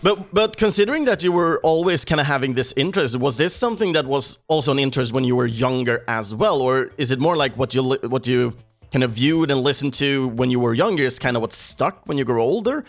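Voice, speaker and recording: A man's voice: medium pitch, animated, brisk. A man hosting a casual podcast interview, upbeat and enthusiastic, often laughing. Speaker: podcast host.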